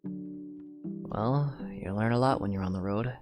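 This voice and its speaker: An actor playing a quiet, earnest young knight who speaks softly and sincerely. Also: male voice, lowish voice, slow pace. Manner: soft-spoken, sincere, plain phrasing. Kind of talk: fan audio drama. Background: music.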